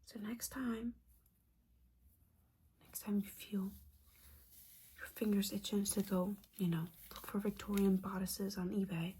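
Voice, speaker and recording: A woman's voice: mid-range voice, steady tone, a little slow, pauses often, mellow, a little hiss or hum, close to an everyday voice, poor audio. Whispering, judgy witch mentor played by a woman. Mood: stern, advising. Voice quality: breathy whisper. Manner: scolding, casual, sweary, exasperated. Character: disappointed, judgy, sarcastic witch mentor, female. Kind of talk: whispered ASMR roleplay monologue.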